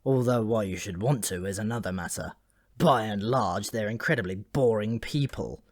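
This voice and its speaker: A man's voice: fairly low pitch, moderate pace, lightly acted. Male narrator as sour dwarf prince, dry, sneering and grumbling, for a comic fantasy storybook. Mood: dry, calm. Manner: dry, scornful, complaining.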